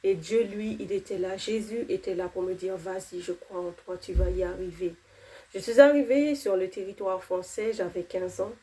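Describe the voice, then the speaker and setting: A woman's voice: medium pitch, moderate pace, warm tone, rough recording. A woman in her thirties, not a native French speaker, giving an earnest personal faith testimony, with fillers and restarts.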